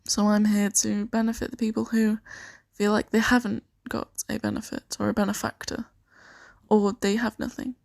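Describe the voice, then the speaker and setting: A woman improvising an ASMR roleplay as a kindly, earnest host of a fantasy sanctuary, speaking softly and reassuringly with some hesitation. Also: mid-range voice, little pitch movement, normal speed, vocal fry, dry recording, clean studio sound, lightly acted. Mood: earnest, gentle. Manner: soft-spoken, gently hesitant, reassuring.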